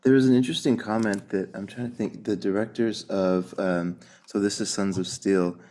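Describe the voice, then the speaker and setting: A man hosting a film podcast, his voice sitting deep and low. He talks casually and eagerly, full of curious questions and quick asides. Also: fairly even intonation, darkish tone. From United States. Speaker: podcast host. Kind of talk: casual interview chat.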